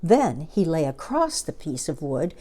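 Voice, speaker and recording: A woman's voice: deep voice, big pitch swings, a little slow, dark tone. A woman reading a children's story aloud as a storyteller, expressively and with dramatic emphasis.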